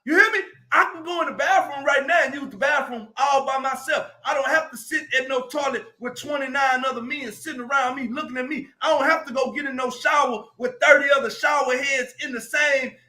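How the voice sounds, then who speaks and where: A man's voice: very high-pitched, a little fast, hardly any pauses, pushed and bright. A man, giving a preachy, emphatic motivational talk on a live stream and often raising his voice. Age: 40s. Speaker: motivational speaker.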